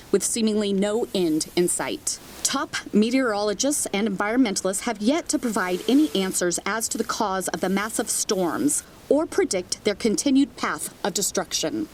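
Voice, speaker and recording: A woman's voice: mid-range voice, slight background noise, lightly acted. A woman playing a serious, composed television news anchor reading a scripted bulletin in an audio drama.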